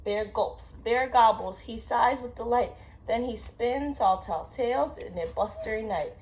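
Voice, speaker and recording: A woman reading a children's story aloud with dramatic, drawn-out emphasis. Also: a little high, a little mellow. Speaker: storybook reader. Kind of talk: expressive children's read-aloud.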